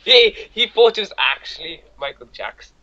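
A man's voice: high-pitched, sing-song, normal speed, sharp tone, muffled recording. A young man hosting prank calls, chatting casually with friends on an online group call. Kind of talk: casual chat among friends. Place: indoors, on a video call.

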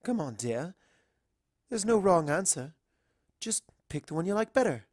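A man's voice: high voice, very animated, measured pace, no echo, clean studio sound, voice pushed up, heavily acted. A man voicing a refined, fussy young female pony in an affected, coaxing manner for a story reading.

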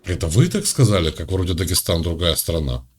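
A man from Russia hosting a chat, needling and mocking the person he talks to in a deadpan way. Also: sits low, a little fast, slightly rough. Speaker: host. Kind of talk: mocking video-call chat.

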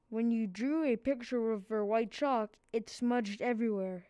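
A woman's voice: on the high side, dark tone, clear, resonant tone, dry recording. A female reader giving a scripted read-aloud of a children's book.

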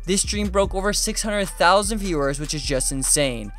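A man narrating a countdown list video in an energetic, chatty way, often addressing viewers as "you guys". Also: sits high, moderate pace, faint music behind. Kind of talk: fast upbeat countdown narration.

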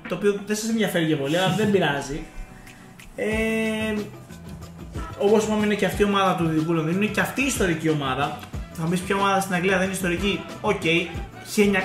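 A man co-hosting a casual football podcast, chatty and reminiscing with joking asides. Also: sits high. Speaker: podcast co-host. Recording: echoey room with faint music.

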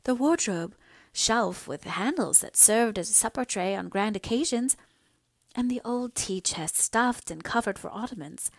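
A woman's voice: medium pitch, lively intonation, unhurried, no room sound, clean studio sound. A woman acting an earnest, pleading young daughter. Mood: fond, reassuring. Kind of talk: dramatised audiobook dialogue.

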